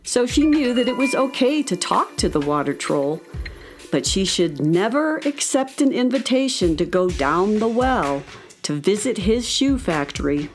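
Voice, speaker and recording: A woman's voice: medium pitch, big pitch swings, measured pace, faint music behind. A woman narrating a scripted story for children.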